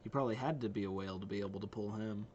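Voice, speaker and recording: An excitable video host giving gushing reaction commentary to game character trailers. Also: sits low, racing, muffled recording. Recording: game music behind the voice. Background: video game trailer music.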